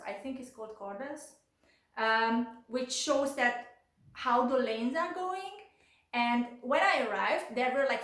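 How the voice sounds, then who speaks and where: A woman's voice: on the high side, fairly bright tone, roomy sound. A young woman with a Hungarian accent, telling a casual storytime vlog in English and filling her talk with "like" and "you know". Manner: chatty, lots of filler "like" and "you know". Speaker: vlogger.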